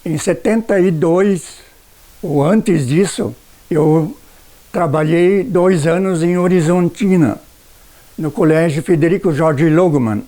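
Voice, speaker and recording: Old guy in his 80s, southern brazil accent, ex teacher telling his story, really slow and mellow. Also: on the high side. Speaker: retired schoolteacher. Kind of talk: personal recollection for a documentary.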